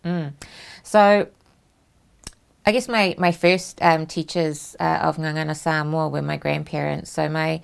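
A woman speaks in a low, deep register with a heavily gravelly, creaky texture. She talks in a measured, reflective way, pausing often on ums. She was recorded on a lapel mic in a small studio. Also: level tone. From New Zealand. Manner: measured, thoughtful, frequent ums. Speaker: host. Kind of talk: studio interview chat.

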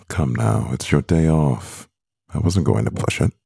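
A man acting a composed, doting CEO boyfriend in an ASMR roleplay, speaking in a measured, gravelly voice. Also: lively intonation, mellow, no echo, clean studio sound, full character voice. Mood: tender, teasing. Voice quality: very deep, gravelly. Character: powerful male CEO boyfriend, composed, doting, gently teasing.

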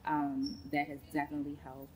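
A woman, a school counselor chatting as an interview guest, warm, with many ums. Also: sits low, monotone, brisk, a little hiss or hum, low-quality recording. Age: late 30s. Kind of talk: casual interview talk. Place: large indoor sports facility studio.

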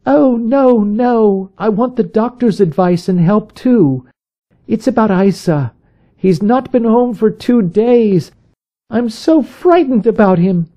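A man voicing a distraught, timid young woman who has come for help, pleading in a high, sobbing voice. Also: animated, slow, mellow. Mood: frightened.

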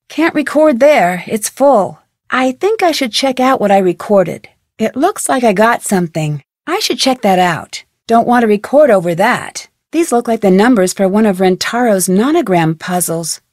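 An actress playing a plucky, curious young detective who perkily talks to herself in a video game. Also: female voice, a little high, no echo, clean studio sound. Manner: perky, crisp, self-talking. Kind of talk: video game character lines.

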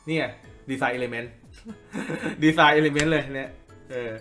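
A man's voice: a little high, fairly even intonation, very slow, music in the background. A man giving an informal drawing tutorial as the teacher, repeating phrases for emphasis and often checking in with listeners. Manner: checks in with listeners with frequent tag questions.

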